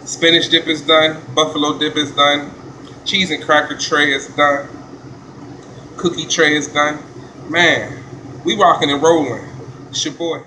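A man, a chef filming a casual vlog in a commercial kitchen, talking in relaxed slang. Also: fairly high pitch, measured pace, pauses often, a little hiss or hum, poor audio. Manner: laid-back slangy hype talk. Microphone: handheld phone camera.

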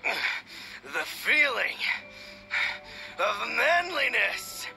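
A man's voice: extremely high pitch, sing-song, vocal fry, pushed and bright, heavily acted, rough recording. Gasping over-dramatic swordsman from a game, voice swings all over, music behind. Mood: manic bravado. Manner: gasping, halting, melodramatic. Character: manly-obsessed delirious young swordsman, bombastic then pitiful. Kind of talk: English game voice acting.

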